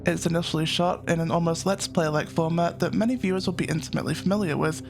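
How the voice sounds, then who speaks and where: A man narrating a scripted analytical video essay as its host, in a measured, explanatory manner. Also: a little high, steady tone, vocal fry, over music.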